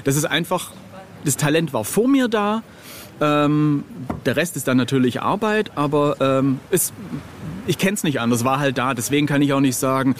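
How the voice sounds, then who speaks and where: A man's voice: fairly high pitch, normal speed, slight background noise. A middle-aged man chatting casually as an interview guest, telling anecdotes in a jokey, self-deprecating way.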